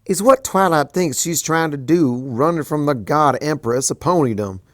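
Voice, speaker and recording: A blunt country farm pony mare talks in a brash, folksy way, as a reader puts her on. Her lines come out louder and punchier than the narration, recorded dry and close. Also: mid-range voice, expressive, moderate pace, no room sound, pitched above the performer's own voice, lightly acted. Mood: firm.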